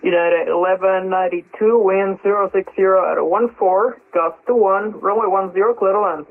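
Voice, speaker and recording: A man with a high-pitched voice gives clipped air traffic control instructions. He comes through a narrow, tinny radio link with faint music underneath. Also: fairly even intonation, normal speed. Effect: radio transmission.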